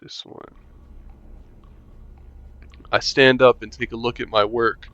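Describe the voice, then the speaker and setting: A man reading a visual novel aloud as a gamer, voicing narration and the player in a relaxed, wry way and muttering while he plays. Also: medium pitch, slow pace. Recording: lo-fi mic with faint music.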